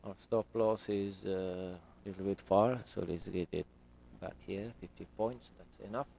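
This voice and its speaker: A man with English as his third language, live-streaming his trading and talking through it slowly with many fillers at a home desk. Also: sits low, fairly even intonation, vocal fry, dark tone, slight background noise. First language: not English. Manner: slow, hesitant, many fillers.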